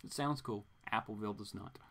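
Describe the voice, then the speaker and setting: A man's voice: fairly low pitch, a little fast, vocal fry, fairly bright tone, slightly rough. A man hosting a comics read-aloud, delivering it dramatically with informal, joking asides. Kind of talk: dramatic comic book reading with casual asides.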